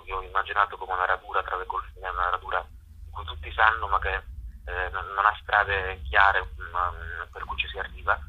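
A man answering interview questions spontaneously, hesitant and often pausing to search for words. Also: deep voice, husky, narrow, tinny audio. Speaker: interview guest, playwright and theatre maker.

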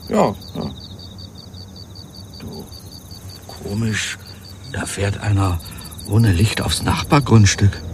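An easygoing husband speaks in a lowish voice, idly and naturally, as in a radio play. Faint crickets and insects of a warm night sound behind him. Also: male voice, takes it slowly, long pauses, a little hiss or hum. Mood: relaxed, then curious. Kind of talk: naturalistic radio drama dialogue. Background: chirping insects at night.

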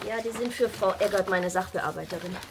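A woman's voice: medium pitch, a little fast, lightly acted. A woman playing a friendly, slightly flustered and politely sceptical midwife in a naturalistic radio drama. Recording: noisy location sound.

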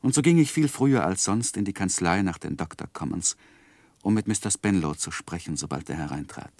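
A low male voice for a sensitive, earnest young gentleman in a vintage radio drama. He speaks in measured literary phrases with heartfelt feeling. The recording is close and very dry. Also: expressive, no room sound. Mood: reflective, downcast. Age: young adult. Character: earnest, lovestruck, sensitive young gentleman narrating his life. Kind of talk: 1960s German radio play acting and narration.

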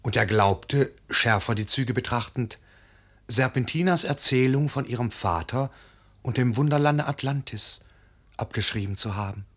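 A man narrating a literary fairy tale in a measured storytelling manner. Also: mid-range voice.

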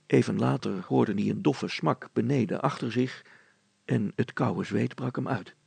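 A man's voice: medium pitch, a little slow, vocal fry, a little mellow, slightly rough, dry recording. A man narrating a children's story as a storyteller.

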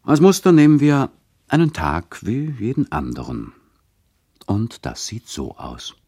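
An actor playing an ironic, chatty radio play narrator who lectures the listeners in a mock-didactic, hesitant way. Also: male voice, mid-range voice, huge pitch swings, measured pace, warm tone. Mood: wry.

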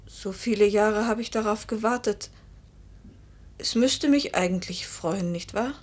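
A woman acting as a passionate young wife in a radio drama. Her husky, breathy voice is intimate and confessional, heavy with remorse. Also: mid-range voice, measured pace, natural voice. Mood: tense, resigned. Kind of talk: German radio play acting and first-person narration.

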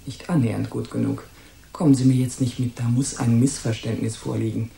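A woman's voice: very deep voice, fairly even intonation, a little fast, creaky, warm tone, heavily acted. An actress playing a haughty, suspicious and scornful married woman in a German radio crime drama. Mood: scornful, accusing. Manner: disdainful, imperious, guarded. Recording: roomy echo.

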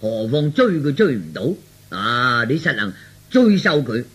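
A man's voice: medium pitch, very animated, unhurried, a bit bright, slightly rough, full character voice. A man voicing a boastful, hard-drinking middle-aged father who dotes on his daughter, with hearty, tipsy banter in Cantonese storytelling drama. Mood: boastful, disbelieving.

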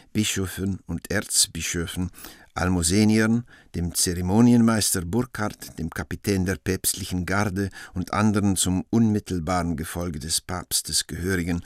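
A man's voice: low-pitched, dry recording, studio quality. A man reading scripted stage directions as narrator of a radio play.

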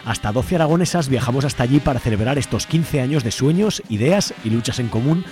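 A man's voice: medium pitch, animated, fairly quick, darkish tone. A man with an accent from Spain reading scripted narration as co-host of a radio show. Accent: Spain Spanish. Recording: music bed behind the voice. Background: music.

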